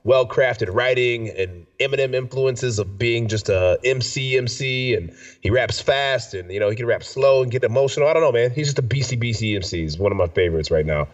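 A man's voice: mid-range voice, fairly quick. Congested, nasal man on a distant mic. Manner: slangy, enthusiastic, repeats himself for emphasis. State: sick with a stuffy nose. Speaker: radio show and podcast host. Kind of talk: casual chat. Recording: distant mic, dull lo-fi sound.

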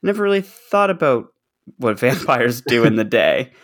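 A man's voice: mid-range voice, very animated, moderate pace, a bit bright. A man co-hosting a podcast, talking casually through a recap. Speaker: podcast co-host.

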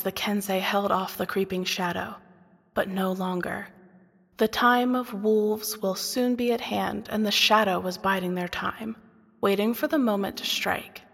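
A woman's voice: mid-range voice, vocal fry, a bit bright, slightly rough. A woman narrating a scripted fantasy story in a dramatic storytelling style. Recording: long reverberant hall effect.

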